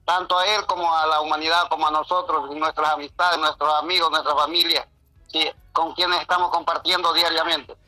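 A man's voice: on the high side, flat delivery, brisk, sharp tone, no room sound, low-quality recording. A man calling into a radio show by phone, rambling in a preachy, hesitant way about God and good character. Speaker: caller. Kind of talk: religious phone-in chat.

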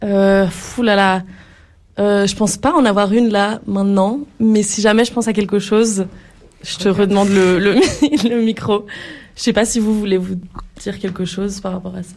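A shy young woman speaks in a casual radio discussion. Her voice sits in an average range, and her talk is halting and full of hesitations. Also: medium pitch, steady tone, darkish tone. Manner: shy, halting, many fillers. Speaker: workshop participant. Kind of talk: casual chat.

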